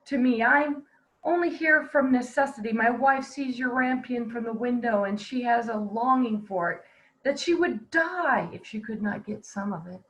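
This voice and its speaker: A woman's voice: a little high, normal speed, a bit bright, lightly acted, poor audio. A woman voicing a humble, frightened husband pleading in a fairy tale reading.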